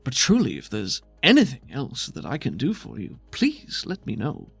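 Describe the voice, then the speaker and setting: A man voicing a calm, polite male scorpion bureaucrat who speaks in a measured, quietly smug way. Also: mid-range voice, creaky, husky, faint music behind, exaggerated voice. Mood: smooth.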